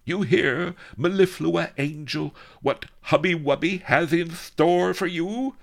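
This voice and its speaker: A man's voice: a little high, vocal fry, fairly bright tone, voice pushed up, exaggerated voice. A man voicing a greedy, lisping old viceroy with wobbly dentures who roars and gloats. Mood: fawning. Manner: bombastic, roaring, gloating.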